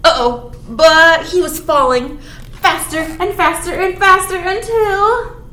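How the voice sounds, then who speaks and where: A woman's voice: very high-pitched, takes it slowly, sharp tone. A woman leading storytime for young children, reading aloud in a playful, exaggerated way with chatty asides to her little listeners. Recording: roomy echo with background noise.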